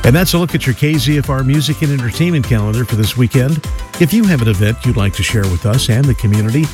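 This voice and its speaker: A man reading a scripted events calendar on the radio in a steady promo-style delivery. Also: mid-range voice. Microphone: studio microphone. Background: music bed under the voice.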